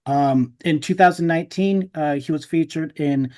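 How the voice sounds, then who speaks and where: A man's voice: a little high, moderate pace, mellow. A man hosting a podcast, talking informally and casually with his guest. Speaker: podcast host. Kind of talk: casual podcast interview chat.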